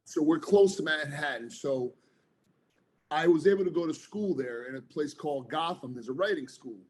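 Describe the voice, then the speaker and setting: A man's voice: on the high side, expressive, normal speed, a little mellow, breathy edge. A man, a former police officer turned author, talking earnestly and rambling a little as a podcast guest. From New Jersey, United States. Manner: earnest, rambling, frequent fillers. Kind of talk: casual interview chat.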